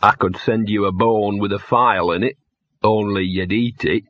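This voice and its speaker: Guy doing a drunk cynical little talking dog for an audiobook, hoarse n slurry, grumbles on and on, muffled sound. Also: lowish voice, fairly even intonation, normal speed, fairly bright tone, no room sound. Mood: gruff defiance. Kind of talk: comic fantasy audiobook character.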